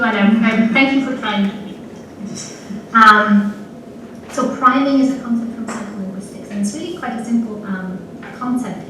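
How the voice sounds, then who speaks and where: A woman from Switzerland with a Swiss German accent, a native Swiss German speaker, patiently explaining ideas step by step in an interview. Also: on the high side. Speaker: linguistics professor being interviewed. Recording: played-back video in an echoey room, distant, noisy.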